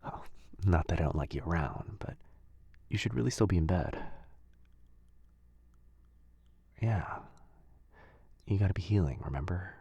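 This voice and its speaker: A man playing a kind, caring wizard in a boyfriend ASMR roleplay, murmuring softly and a little hesitantly close to the microphone. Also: sits low, lively intonation, moderate pace, dry recording, lo-fi sound, full character voice. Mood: gently concerned. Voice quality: soft, breathy, husky. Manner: gentle, hesitant, murmuring, intimate. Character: kind, caring young male wizard, gently fussing. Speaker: audio roleplay voice performer. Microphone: close, dry microphone.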